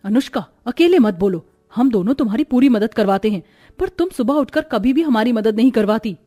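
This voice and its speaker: A woman's voice: a little high, animated, fairly quick, mellow. A woman voicing a reasonable, dutiful elder sister-in-law in a cartoon story, speaking earnestly. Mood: firm. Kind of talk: Hindi cartoon story dialogue.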